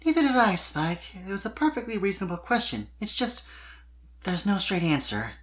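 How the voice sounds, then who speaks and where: A narrator voicing a sweet, shy young mare pony who speaks softly and a little hesitantly in a fan fiction reading. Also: medium pitch, big pitch swings, moderate pace, pitched above the performer's own voice. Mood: wistful. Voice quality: soft voice.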